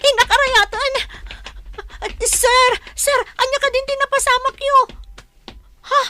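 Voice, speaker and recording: A woman's voice: very high voice, flat delivery, unhurried, sharp tone, heavily acted. A woman acting an eager, humble, easily flustered young housemaid in an Ilocano radio comedy drama. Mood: panicked.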